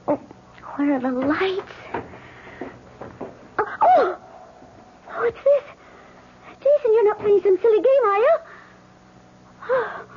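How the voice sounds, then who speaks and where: A woman's voice: very high voice, heavily acted. An actress playing a headstrong, anxious woman, insistent and dramatic, in old radio drama style. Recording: dull, hissy old radio recording.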